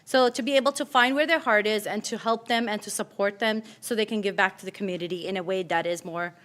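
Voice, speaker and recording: A middle-aged woman from Kurdistan, a native Kurdish speaker, giving an informal short speech in a chatty, excited and nervous manner. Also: mid-range voice, fast talker. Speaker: school principal introducing herself.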